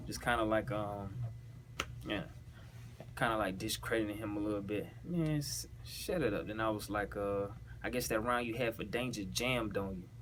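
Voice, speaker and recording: Southern guy breaking down rap bars, kinda low voice, slangy, keeps checking you follow, bit of noise behind him. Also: moderate pace, fairly bright tone. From South Carolina, US. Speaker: battle rapper breaking down their own bars. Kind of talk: casual explanatory chat with recited rap bars.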